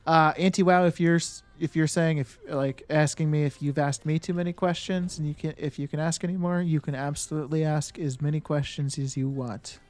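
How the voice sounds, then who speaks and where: A man's voice: on the high side, fairly even intonation, fairly quick, hardly any pauses, a little mellow, soft background music. A man live-streaming a game, chatting casually with viewers. He thinks aloud and answers chat with lots of fillers and restarts.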